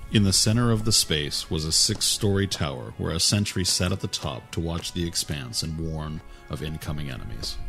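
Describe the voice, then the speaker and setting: A man reading a fantasy story aloud in a calm, even way, with a husky voice. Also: low-pitched. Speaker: narrator. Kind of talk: storybook narration.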